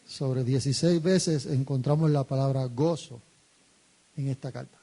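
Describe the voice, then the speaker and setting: A man interpreting a sermon into Spanish, phrase by phrase, in a steady way. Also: medium pitch, unhurried. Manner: steady, follows the preacher phrase by phrase.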